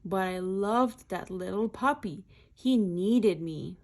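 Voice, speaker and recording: A woman's voice: mid-range voice, slow pace, a little mellow. A woman reading a children's picture book aloud in short, simple phrases, adding explanatory asides.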